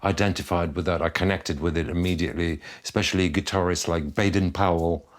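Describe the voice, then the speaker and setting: A middle-aged man who grew up in Argentina, speaking as a guest musician in a relaxed interview with an easygoing, reflective manner. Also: deep voice, fairly quick. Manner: easygoing, reflective, chuckles at his own jokes.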